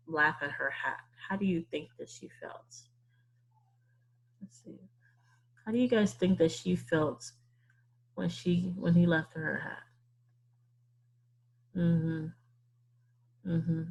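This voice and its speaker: A woman's voice: fairly low pitch, creaky, a bit bright, husky, rough recording. A woman leading an interactive story-time lesson for young children, thinking aloud and asking exaggerated questions. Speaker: teacher leading a read-aloud.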